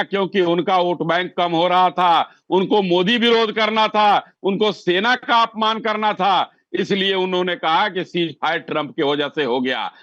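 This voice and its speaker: A man's voice: high-pitched, a bit bright, highs cut off. A man arguing insistently as a party spokesman on a political TV debate. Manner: insistent, combative.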